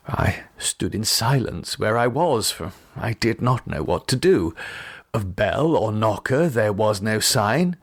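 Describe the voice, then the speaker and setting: A man narrating a gothic novel in the first person, in an expressive, measured, theatrical way. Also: lowish voice. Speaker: audiobook narrator.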